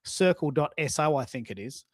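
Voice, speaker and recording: Higher-voiced man, dry close sound. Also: lively intonation, normal speed, no room sound. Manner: informal, rambling, blunt. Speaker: host, social media coach. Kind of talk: casual live-stream chat.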